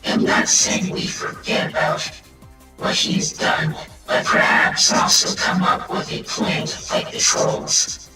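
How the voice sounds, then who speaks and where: Echoey, distant, sharp-voiced impatient cartoon hero man acting. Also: takes it slowly. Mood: earnest, concerned. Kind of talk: amateur comic dub acting. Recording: distant echoey mic with music underneath.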